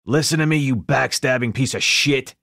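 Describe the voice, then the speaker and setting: A man voicing a loud, furious mob boss who rants and curses at full volume. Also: mid-range voice, moderate pace, vocal fry, no room sound, studio quality, pitched above the performer's own voice. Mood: enraged. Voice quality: shouting, explosive. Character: loud furious foul-mouthed older male mob boss.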